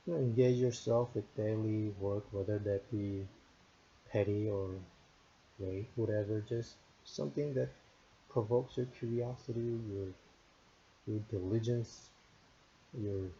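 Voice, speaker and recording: A man's voice: lowish voice, warm tone, dull audio, a little hiss or hum. A man of thirty-nine whose first language is Korean, giving a casual, thoughtful spoken reflection on a book, with many restarts and fillers. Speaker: book reviewer.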